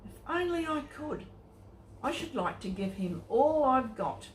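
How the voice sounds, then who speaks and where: A woman's voice: sits high, sing-song, a little slow, pitched above the performer's own voice. A woman storyteller voicing an eager, generous small boy in a Bible story, earnest and wishful. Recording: echoey room with faint noise.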